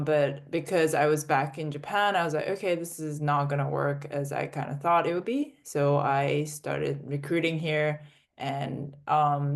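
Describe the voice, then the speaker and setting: A woman in her twenties with a mixed international English accent, a startup founder telling her story as a podcast guest in a fluent, steady way. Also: sits low, creaky. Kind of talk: conversational interview answers.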